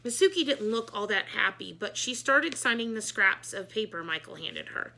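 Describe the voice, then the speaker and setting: Bright-voiced woman reading a children's story. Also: mid-range voice, lively intonation, moderate pace, gravelly, poor audio. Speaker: read-aloud storyteller, teacher. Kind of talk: expressive children's book read-aloud.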